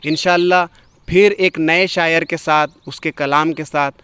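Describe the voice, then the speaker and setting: A man's voice: on the high side, steady tone, measured pace, pushed and bright, clear, resonant tone, dry recording. A man hosting a poetry programme, explaining verse in an eloquent, formal, oratorical way. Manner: eloquent, formal Urdu-rich diction, oratorical. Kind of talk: literary commentary, explaining a poem.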